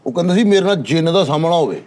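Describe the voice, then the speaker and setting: A man's voice: fairly high pitch, lively intonation, very fast, darkish tone. A man with a Pakistani Punjabi accent telling tales casually as a panellist, insistent and boastful. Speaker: talk show panellist. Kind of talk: casual storytelling.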